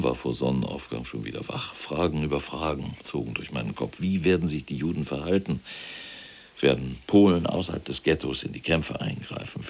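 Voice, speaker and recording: A proud, pompous SS general in captivity in an audio drama. His voice is extremely deep, gravelly and husky, and he recounts things in a formal, pedantic, self-important way. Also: a little mellow, full character voice.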